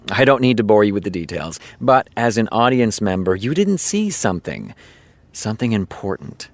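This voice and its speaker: Casting for audio drama: easygoing, earnest TV writer, fairly deep, dry studio sound, naturalistic confiding delivery. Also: male voice, no echo. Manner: casual, confiding, storytelling with dramatic pauses. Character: easygoing male TV showrunner, chatty earnest raconteur.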